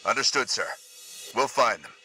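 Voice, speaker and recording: An actor playing a disciplined, stoic armored soldier who speaks in terse military phrases in a game cutscene. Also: male voice, mid-range voice, moderate pace, faint music behind, poor audio. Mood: steady, alert. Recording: loud vehicle and ambient noise.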